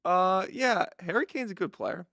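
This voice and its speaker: A young man riffs goofily about sports, playfully naive and offhand. His bright voice has a gravelly edge and swings widely in pitch. The recording is dry and close. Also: expressive, moderate pace, no echo. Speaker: podcast co-host. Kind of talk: casual sports chat.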